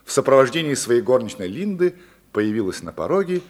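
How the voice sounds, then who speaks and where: A man narrating a radio play as a measured, ironic storyteller with dramatic pauses. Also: medium pitch, very animated, darkish tone. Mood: hushed, admiring. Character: male narrator, measured, wry storyteller of high society. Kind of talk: theatrical scripted narration.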